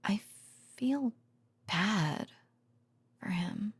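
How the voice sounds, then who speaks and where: Woman voicing a tired loving girlfriend, husky and creaky, hesitant, whispery, close dry bedtime ASMR roleplay. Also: medium pitch, lively intonation, no echo, full character voice. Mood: sad, pitying. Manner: hesitant, halting, sighing, drifting into whispers. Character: tender, worn-out female researcher girlfriend confiding at bedtime.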